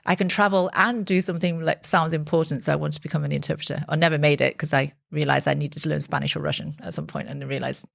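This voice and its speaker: A woman's voice: low-pitched, fairly even intonation, quick pace, vocal fry, hardly any pauses, dry recording, studio quality. A woman in her forties, a startup founder, talking candidly and reflectively as a podcast guest, with frequent restarts. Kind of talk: conversational podcast interview.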